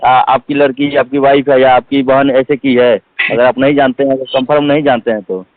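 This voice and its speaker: A man's voice: medium pitch, little pitch movement, dry recording. Guy on a phone call interview, dry tinny line, keeps stumbling and repeating himself to make his point. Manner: halting, repetitive, emphatic. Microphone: telephone.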